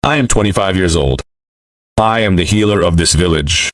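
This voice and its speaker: Man reading calm young woman healer, soft, patient, deep, dry. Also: normal speed, no room sound, recorded from a distance.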